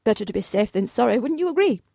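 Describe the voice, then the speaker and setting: A woman's voice: on the high side, big pitch swings, fast talker, creaky, warm tone, husky, no room sound, clean studio sound, heavily acted. A British woman playing a genteel, unflappable office boss in a comic radio play, polite, breezy and formally businesslike. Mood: matter-of-fact. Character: genteel, unflappable female office boss making absurd requests.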